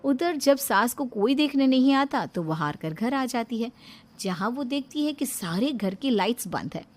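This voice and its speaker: A woman's voice: a little high, animated, normal speed. A woman giving scripted narration as the storyteller of a tale. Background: background music.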